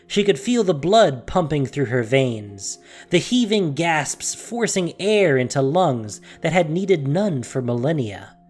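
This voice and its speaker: Animated, theatrical story narrator of medium pitch. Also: husky. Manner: theatrical, with deliberate pauses and hushed emphasis. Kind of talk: dramatic scripted audio story narration. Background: music.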